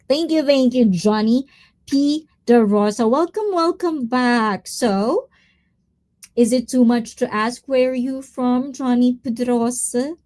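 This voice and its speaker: A woman hosting a live stream, chatting casually, reading viewer comments and mixing in Tagalog words. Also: a little high. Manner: chatty, mixes in Tagalog words, drifts between topics.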